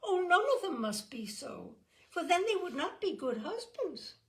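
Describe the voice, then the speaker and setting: A woman voicing a cheerful young girl who eagerly plays matchmaker, with bright, emphatic exclamations. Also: very high-pitched, big pitch swings, a little slow, pitched above the performer's own voice, full character voice.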